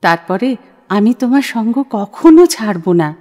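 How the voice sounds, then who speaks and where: A woman's voice: on the high side, sing-song, a little slow, darkish tone, faint music behind. A woman playing a shrewd, self-assured young woman in an audio play, speaking in a forthright, persuasive, dramatic way. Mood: persuasive, daring. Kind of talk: audio play acting of a literary short story. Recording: long hall-like reverb.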